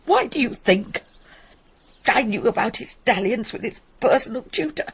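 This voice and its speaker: A woman's voice: a little high, expressive, normal speed, gravelly, breathy edge, dull audio, full character voice. An actress playing a bitter, proud, guilt-ridden middle-aged mother in a radio drama. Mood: defensive, regretful.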